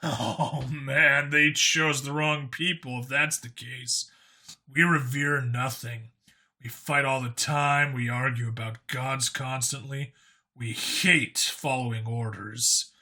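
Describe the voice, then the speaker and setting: A blunt human cop acted by a male reader with a middling pitch and a bright edge. He talks casually and keeps it low-key, with a wry turn, as a character in an audiobook. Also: steady tone, slow pace.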